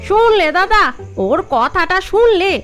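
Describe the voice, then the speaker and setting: A woman voicing a sly, sharp-tongued young village wife in a cartoon, teasing. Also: very high-pitched, animated, measured pace, heavily acted. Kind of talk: cartoon dubbing. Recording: faint music behind.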